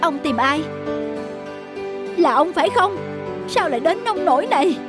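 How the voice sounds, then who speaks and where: A woman playing a sensible, caring, hardworking peasant wife in an animated folk tale, speaking earnestly. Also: very high-pitched, a little slow, heavily acted. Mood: shocked concern. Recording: music under the voice.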